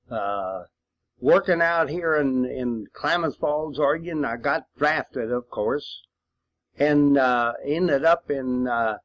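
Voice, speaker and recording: An older man, a war veteran, rambling through reminiscences in a folksy, halting way on an old home cassette recording. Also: fairly high pitch, highs cut off. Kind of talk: rambling reminiscence, spoken storytelling.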